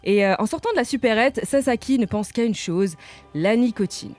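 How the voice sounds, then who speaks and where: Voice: medium pitch, normal speed, a little mellow. A radio columnist talking through a prepared manga review in a loose, chatty way. The delivery is playful, with jokey asides to the listeners and a mid-range voice. Faint music sits under the studio talk.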